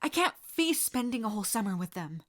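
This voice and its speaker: A woman's voice: on the high side, very animated, brisk, fairly bright tone. A woman reading an anxious, guarded teenage boy with clipped lines.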